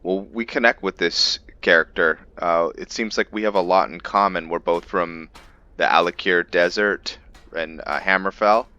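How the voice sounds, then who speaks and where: Low-voiced man narrating his gameplay. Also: moderate pace, sharp tone, smooth, highs cut off. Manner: hesitant, thinks aloud with fillers. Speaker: gamer roleplaying his character. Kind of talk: casual gameplay roleplay commentary.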